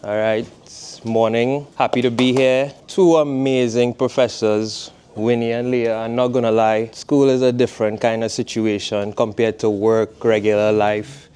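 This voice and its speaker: A man's voice: medium pitch, little pitch movement, a little slow, darkish tone, slight background noise. A man in his twenties from Trinidad, with a Trinidadian accent, giving a laid-back, conversational guest talk to a college class. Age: 20s. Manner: laid-back, conversational, asks "you know what I mean". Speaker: guest speaker, footwear designer.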